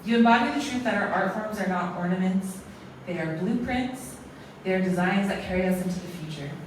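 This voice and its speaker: Woman, formal closing speech, earnest and ceremonial, mid pitch, captured by a distant mic in a big echoing hall with faint noise. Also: fairly quick. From American Samoa. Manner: earnest, ceremonial, speaks in lists. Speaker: closing speaker at an arts awards event. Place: large echoey museum hall.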